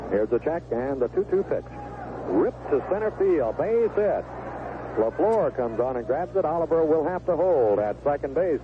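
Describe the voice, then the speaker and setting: Male baseball announcer on noisy vintage radio. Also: medium pitch. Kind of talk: live sports commentary. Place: stadium broadcast booth. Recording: narrow, noisy 1970s AM radio broadcast.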